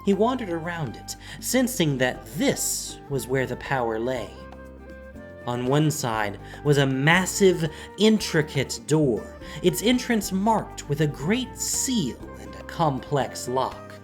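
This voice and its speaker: A man narrating a scripted fantasy audio drama in a deliberate, dramatic way with weighty pauses. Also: fairly high pitch, very animated. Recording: faint music behind.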